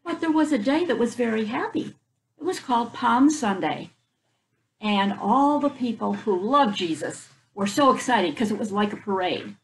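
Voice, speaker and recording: An older woman reads a children's picture book aloud on a front porch. Her voice sits a little high and has a noticeable creak. She pauses to explain things simply, with faint outdoor noise in the recording. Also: animated, poor audio. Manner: explanatory asides in simple words. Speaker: children's story reader. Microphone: camera a few feet away.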